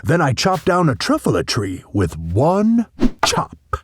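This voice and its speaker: A man's voice: a little high, wildly swooping pitch, slow pace, noisy background, exaggerated voice. A man playing an old, grumpy, secretive recluse who tells his tale dramatically in a storybook read-along. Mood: wistful, excited.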